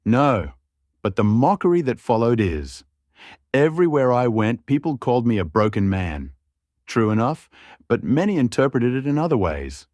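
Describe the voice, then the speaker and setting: A man's voice: medium pitch, very animated, moderate pace, a little mellow, dry recording, clean studio sound, natural voice. A man voicing a bitter, mocking porcelain guardsman, a murderous villain with an aggrieved, taunting way of talking in an audiobook story.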